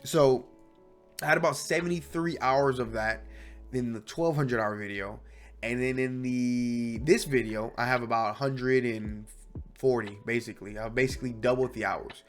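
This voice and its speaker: A man's voice: mid-range voice, fairly even intonation, moderate pace, soft background music. A young man with a stuffy voice from a cold, chatting casually and correcting himself often as he talks about his own learning. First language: English. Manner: chatty, self-correcting, restless. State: sick, stuffy voice. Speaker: language learning vlogger. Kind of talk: casual rambling monologue.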